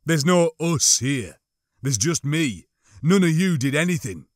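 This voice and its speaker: A man's voice: fairly high pitch, big pitch swings, measured pace, no echo, clean studio sound. A man voicing a principled, defiant soldier in an audiobook, urgent and firm. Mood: urgent, resolute.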